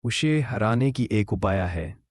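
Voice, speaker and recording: A man playing a grave holy man recounting a cartoon tale in a measured, explanatory way. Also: on the low side, expressive, darkish tone, slightly rough, no echo, exaggerated voice. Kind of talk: Hindi cartoon story narration.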